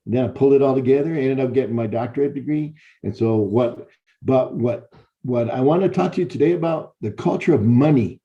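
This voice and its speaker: A man's voice: medium pitch, brisk, mellow. A middle-aged man from Chicago, a university professor telling his life story to students in a conversational, repeating way. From Chicago, Illinois. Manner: conversational, repeats words and restarts, direct address. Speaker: university professor giving a talk. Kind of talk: personal storytelling lecture to students. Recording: dull lo-fi recording.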